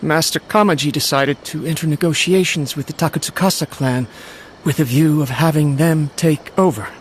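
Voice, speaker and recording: A man's voice: on the high side, moderate pace, slight background noise, natural voice. A man playing a nervous, loyal servant in a video game, speaking in a deferential, hesitant and anxious way. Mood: nervous, apologetic. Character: nervous, humble, loyal male servant of noble clan. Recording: game music playing behind the voice.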